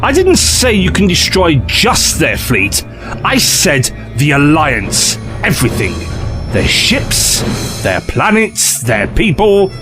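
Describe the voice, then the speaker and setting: A man's voice: fairly high pitch, wildly swooping pitch, takes it slowly, music in the background, heavily acted. A man playing a cocky, scheming renegade in an audio drama, with a flippant, persuasive, theatrical delivery. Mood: sly, persuasive.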